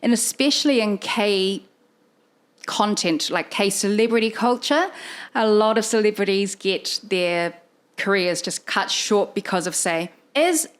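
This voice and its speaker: A woman hosting a podcast, asking questions in a lively, chatty way with quick asides. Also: mid-range voice, smooth. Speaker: podcast host. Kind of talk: casual interview chat.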